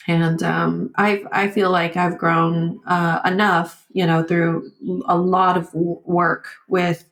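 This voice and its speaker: A woman whose first language is Vietnamese, talking candidly and reflectively in an interview, halting and often saying "like" and "you know". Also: on the low side, echoey room. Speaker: podcast guest.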